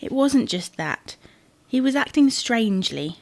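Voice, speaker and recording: A woman's voice: fairly high pitch, sing-song, unhurried, full character voice. A woman playing a stubborn, exasperated wife in a domestic comedy audio drama, indignant and matter-of-fact. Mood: puzzled, uneasy.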